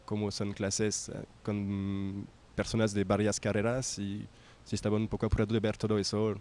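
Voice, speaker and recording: A young man from France with a French accent, a native French speaker, giving an informal testimonial as a student and haltingly searching for words in a second language. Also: on the low side, completely flat delivery, a little mellow, slight background noise. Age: young adult.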